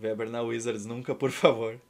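A man's voice: mid-range voice, level tone, moderate pace, a little mellow. A man in his thirties with a Brazilian accent, chatting casually and ironically as he hosts and commentates a game stream. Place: indoor room at home.

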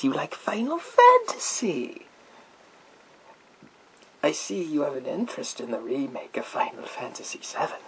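Guy doing a creepy whispery psychic soldier in a gas mask, slow and theatrical, asmr roleplay, pitch swoops around. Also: creaky, heavily acted, low-quality recording. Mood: probing, theatrical. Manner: theatrical, slow, drawn-out, repetitive phrases. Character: sinister male psychic mind-reading soldier in gas mask.

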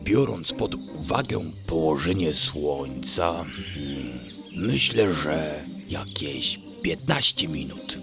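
Man voicing a slow, pondering, low-voiced fox. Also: expressive, gravelly, music in the background, full character voice. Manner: hesitant drawl with pondering hums. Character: dim, slow-thinking, cheerful young male fox cousin. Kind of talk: children's bedtime storybook character voice.

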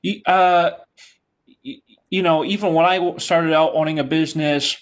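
A middle-aged man leads a class over a webcam call from a home office. His voice sits a little high, and he talks in an encouraging, loose way, often repeating words as he restarts. The sound is plain laptop-call audio. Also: steady tone, normal speed. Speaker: instructor. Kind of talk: casual class video call. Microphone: video call webcam.

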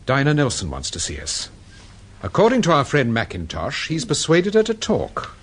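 A man with an English Received Pronunciation accent plays an urbane, shrewd detective in a radio drama, polite and measured. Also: medium pitch, wildly swooping pitch, a bit bright, slightly rough, full character voice. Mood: courteous. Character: urbane, shrewd gentleman detective, calm and courteous.

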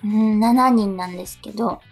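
A woman's voice: medium pitch, moderate pace, vocal fry, faint music behind. A woman co-hosting a radio show in casual chat, speaking politely and modestly with hesitant phrasing. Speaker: radio co-host, stage actress.

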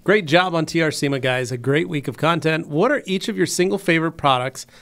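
An easygoing man in his thirties chimes in on a studio podcast. His voice sits a little high for a man, with a gravelly fry. He is recorded close on a desk mic. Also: fairly quick, fairly bright tone. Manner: easygoing, chimes in with short agreements. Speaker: podcast co-host. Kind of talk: casual chat. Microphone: desk broadcast mic.

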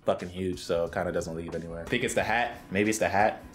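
A man's voice: sits low, steady tone, fast talker, soft background music. A young man, a YouTuber, joking with strangers on a video chat in mock-gangster bravado, recorded on a studio microphone in a small home room. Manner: playful, mock-gangster bravado with sudden mumbled asides. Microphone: studio microphone on a stand, headphones on.

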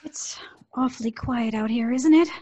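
Woman acting a naive young woman, anxious, high voice, hesitant, old-time radio drama. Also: moderate pace, dark tone, lightly acted. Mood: uneasy. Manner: hesitant, girlish, fretful.

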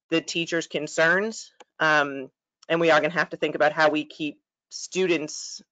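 A woman's voice: low voice, a bit bright, no room sound. A woman raises concerns in a meeting discussion. Her voice comes through a video call with a dry, slightly dull lo-fi sound.

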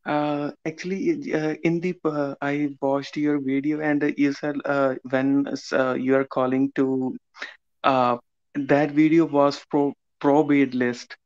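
A man's voice: a little high, level tone, slow pace, darkish tone, smooth, no echo, lo-fi sound. A man from India with an Indian accent, speaking hesitantly and apologetically on a video call, through a laptop microphone. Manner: hesitant, many uh fillers, apologetic. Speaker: coaching student. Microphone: laptop webcam mic on a video call.

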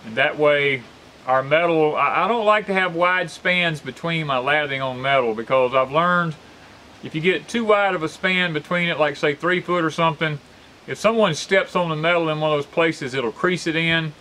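A man's voice: on the high side, moderate pace, pushed and bright, slight background noise, poor audio. Plainspoken older man outdoors. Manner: plainspoken, practical, frequent uh fillers. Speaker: homesteader vlogger. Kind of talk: casual how-to explanation. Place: outdoors on a rural farm by a barn. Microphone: camera on tripod at medium distance.

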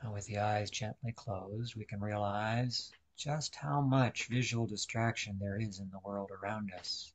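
A man leading a guided meditation, speaking deliberately in short instructional phrases with pauses. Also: lowish voice.

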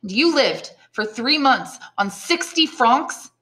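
A woman's voice: on the high side, animated, fairly quick, a bit bright, pitched above the performer's own voice. Woman reading as an earnest, eager young man. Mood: dismayed. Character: eager, earnest young son, a sailor back home. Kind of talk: audiobook character dialogue.

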